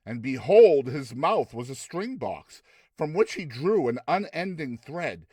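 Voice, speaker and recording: A man narrating classic fiction as a dramatized audiobook, with measured, theatrical storytelling. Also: a little high, sing-song, a little mellow, husky, dry recording. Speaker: narrator, reader.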